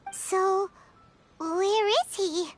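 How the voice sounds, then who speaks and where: Squeaky lil fairy sidekick from a game, super high, talks slowish, excitable and childlike. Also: female voice, faint music behind, heavily acted. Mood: curious. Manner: exclaiming, wide-eyed, refers to self by name. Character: small excitable childlike female fairy companion. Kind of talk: video game character acting.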